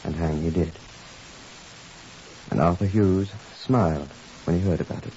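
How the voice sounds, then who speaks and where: Actor as deep-voiced gruff police superintendent. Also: male voice, normal speed, mellow, lightly acted. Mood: grave, measured. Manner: curt, authoritative. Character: gruff, commanding Scotland Yard police superintendent, curt and impatient. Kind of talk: 1950s radio drama acting and first-person narration. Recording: muffled, hissy 1950s radio recording.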